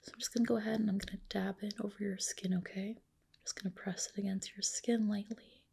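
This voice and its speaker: A woman whispering a soothing ASMR roleplay as a reassuring clinician, in a soft, breathy voice. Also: mid-range voice, little pitch movement, fast talker.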